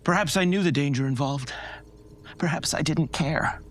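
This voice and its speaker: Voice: medium pitch, moderate pace, lightly acted. An actor playing a vain, grandiose male starship captain from the future in a live audio drama, with a commanding, theatrical manner. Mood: grandiose, defensive. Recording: live stage recording with music.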